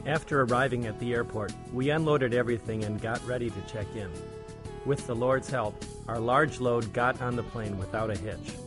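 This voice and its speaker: A man, a church volunteer, reading a plain, measured documentary voice-over about a mission trip. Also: medium pitch. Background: background music.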